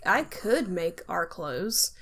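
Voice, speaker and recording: A woman's voice: lowish voice, very animated, slow, fairly bright tone. An American woman co-hosting a podcast in a casual, conversational style. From United States. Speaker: podcast co-host.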